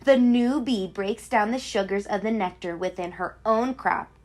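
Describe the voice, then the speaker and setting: A woman who teaches kindergarten, reading a children's picture book aloud in an expressive, explanatory way. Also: mid-range voice, moderate pace. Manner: clear explaining to young children, animated emphasis.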